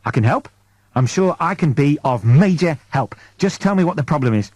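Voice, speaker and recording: A man voicing a clumsy, eager, hapless crewman with an excitable, earnest, pleading manner in a comic science fiction audiobook. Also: a little high, moderate pace, dry recording.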